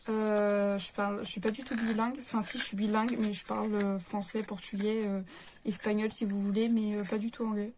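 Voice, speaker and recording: A woman's voice: medium pitch, little pitch movement, brisk, darkish tone, a little hiss or hum. A young woman chatting casually to her camera at home, reviewing a beauty kit and rambling with lots of fillers. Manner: rambling, lots of filler words and self-corrections. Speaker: beauty vlogger.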